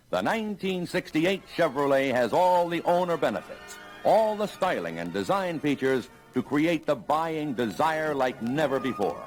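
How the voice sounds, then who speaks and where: A man with an American accent narrates like a 1960s industrial film announcer. His pitch moves in lively swings, and his delivery is measured and emphatic, as if presenting a product to salesmen. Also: low-quality recording.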